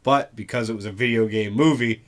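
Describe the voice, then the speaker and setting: A man's voice: lowish voice, fairly quick, fairly bright tone. A man co-hosting in casual banter, argumentative and sarcastic, with occasional swearing. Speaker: co-host.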